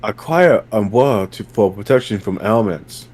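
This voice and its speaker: A man's voice: fairly low pitch, expressive, normal speed, a little mellow. A man reading out short command prompts in a group dramatic reading.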